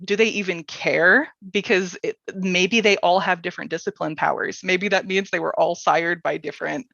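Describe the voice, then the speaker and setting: A woman's voice: lowish voice, fairly even intonation, normal speed, vocal fry, a bit bright, dry recording. A woman hosting a casual storytelling workshop, playful and enthusiastic, on a close but dull-sounding microphone. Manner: playful, joking, enthusiastic about the material. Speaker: workshop host and presenter.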